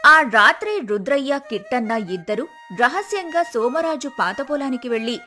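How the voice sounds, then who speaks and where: A woman with a high voice tells a folk tale. She reads scripted narration as the storyteller, with music playing behind her. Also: expressive, moderate pace, pushed and bright.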